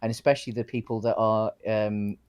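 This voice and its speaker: A man's voice: lowish voice, flat delivery, brisk, darkish tone, smooth. A man co-hosting a casual film discussion, thinking aloud in hesitant, circling phrases. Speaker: co-host of a film discussion show.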